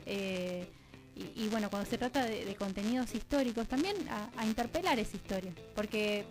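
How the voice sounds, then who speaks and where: A woman's voice: mid-range voice, normal speed, soft background music, poor audio. A woman with an Argentine Rioplatense accent speaking as a radio interview guest, explaining conversationally in long, chained sentences as she thinks aloud. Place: radio studio.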